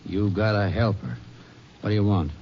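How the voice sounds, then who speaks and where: An actor as a blunt, deep-voiced country feed buyer. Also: male voice, moderate pace, natural voice. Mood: impatient. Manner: clipped, plain-spoken, exacting. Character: blunt, practical rural feed-company buyer. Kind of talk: 1950s radio drama acting. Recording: muffled, noisy old radio recording.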